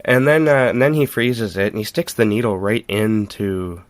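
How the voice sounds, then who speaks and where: Low-voiced man hosting a casual podcast. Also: expressive, moderate pace, no room sound. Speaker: podcast host.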